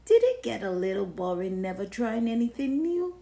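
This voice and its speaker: A woman's voice: a little high, very animated, normal speed, gravelly, warm tone. A woman voicing a pompous, showy big cheese in a theatrical picture-book read-aloud. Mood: boastful. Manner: pompous, theatrical, self-admiring. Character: boastful, showy big cheese craving the spotlight. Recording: roomy, echoing sound.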